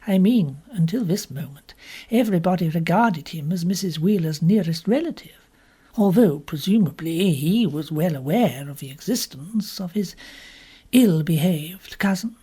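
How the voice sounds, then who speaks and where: A man voicing a prim, gossipy spinster who narrates an audiobook story in fussy, measured asides. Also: high voice, lively intonation, dark tone, breathy edge. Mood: prim, confiding. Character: prim, gossipy English village spinster telling her story. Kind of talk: first-person audiobook narration.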